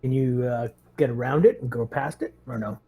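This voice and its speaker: A man with a very soft-edged, warm tone and medium pitch. He talks casually as a tabletop player, organizing his squad. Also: a little fast, slightly rough. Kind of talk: casual game chat.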